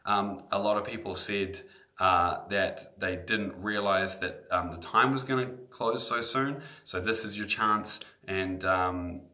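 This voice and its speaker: A man from New Zealand with a New Zealand accent, a guitar instructor making a casual sales pitch to camera and often asking "okay?". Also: fairly even intonation, unhurried, sharp tone.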